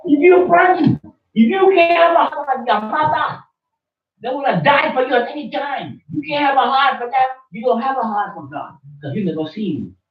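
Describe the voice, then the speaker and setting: A man's voice: extremely high pitch, animated, moderate pace. A man rants with fire and insistence, hammering the same points again and again. He sounds far from the camera, so his voice comes through distant and echoey. The recording of the small room is dull and muffled. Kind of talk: impassioned rant. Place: small study room with bookshelves, indoors.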